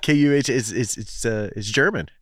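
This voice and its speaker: A man's voice: medium pitch, moderate pace, no echo, clean studio sound. A man hosting a casual chat, laid-back and easygoing, often saying dude. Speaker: host.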